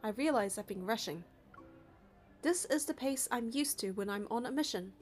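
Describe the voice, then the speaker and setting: Female narrator, high voice, scripted game story reading with music underneath. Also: lively intonation, normal speed. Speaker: narrator and reader of a game playthrough. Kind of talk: scripted story narration with dialogue read aloud. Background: background music.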